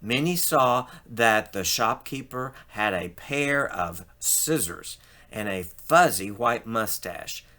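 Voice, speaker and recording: A man narrates a children's story expressively. His pace is slow and deliberate. His voice is bright, with lively rises and falls in pitch. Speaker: storybook reader.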